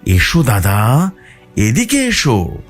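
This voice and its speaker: A man's voice: mid-range voice, wildly swooping pitch, slow pace, voice pushed up. A man plays a luring male spirit posing as a friendly relative in a horror tale. The voice is lifted and swinging, insistently coaxing, repeating its invitations. Music plays behind it. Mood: beckoning.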